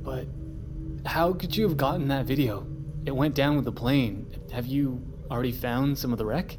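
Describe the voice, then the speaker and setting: An actor playing a questioning, puzzled expedition member in an audio drama. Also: male voice, mid-range voice, moderate pace, music in the background, natural voice. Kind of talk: naturalistic audio drama acting.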